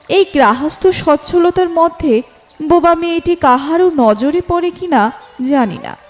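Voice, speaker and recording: Female narrator, high-pitched voice, scripted literary storytelling, light music underneath. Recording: music bed behind the voice. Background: background music.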